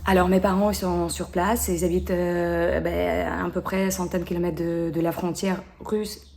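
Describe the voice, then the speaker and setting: A woman's voice: on the low side, little pitch movement, creaky, darkish tone. Hesitant woman with a Ukrainian accent. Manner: halting, with many drawn-out fillers and restarts. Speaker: interviewee. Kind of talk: hesitant interview answers.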